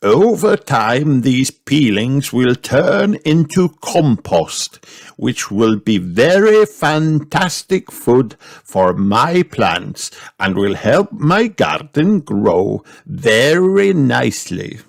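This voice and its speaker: A pleased, kindly old grandfather pig from a children's storybook, acted out by a male reader. He explains things very slowly, stretching his words. The pitch sits raised and moves around freely. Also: big pitch swings, gravelly, exaggerated voice. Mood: kindly, explaining. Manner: slow, drawn-out, explaining.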